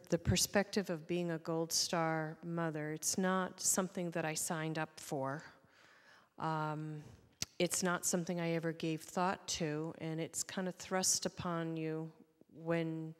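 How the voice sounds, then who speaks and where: A middle-aged woman giving personal testimony on a panel, plain-spoken and halting. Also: sits low. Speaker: panelist, bereaved mother of a soldier. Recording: reverberant hall sound.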